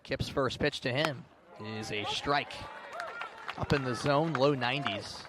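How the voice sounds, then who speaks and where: A man's voice: mid-range voice, a little slow, noisy background. A man doing live radio baseball play-by-play, in clipped, descriptive phrases. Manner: clipped, descriptive calling that swells on big plays. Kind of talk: live radio sports commentary. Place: outdoor ballpark broadcast position. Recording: outdoor ballpark ambience behind voice.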